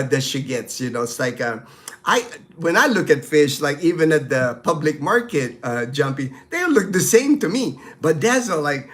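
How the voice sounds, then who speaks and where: Brief: middle-aged Filipino man, native Tagalog speaker, slightly high voice, loose rambling live-stream host talk. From Philippines. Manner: rambling, friendly, many fillers and restarts. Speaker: live stream host answering viewer questions. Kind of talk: casual live-stream chat.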